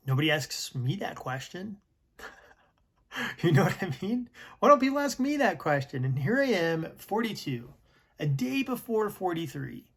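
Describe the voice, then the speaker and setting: A man in his early forties giving a slow, reflective personal talk, sometimes dropping to a near whisper. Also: a little high. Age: 40s. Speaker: vlogger.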